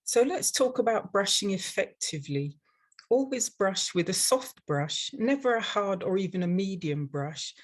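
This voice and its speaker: An older woman from London with an English accent, giving a prepared webinar presentation in a measured, instructional way as a dental professional. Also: medium pitch, animated. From London, England.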